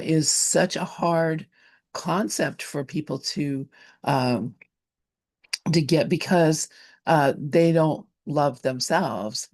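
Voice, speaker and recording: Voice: mid-range voice, a little mellow, no room sound, clean studio sound. A host of a study gathering, talking casually with frequent laughs and stumbles over words. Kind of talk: casual group discussion.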